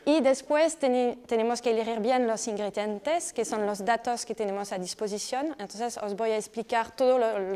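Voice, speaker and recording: A woman's voice: mid-range voice, a little mellow, clear, resonant tone. French-accented woman giving a talk. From France. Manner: explanatory, frequent hesitations, checks in with "¿vale?". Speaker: speaker. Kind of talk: conference talk.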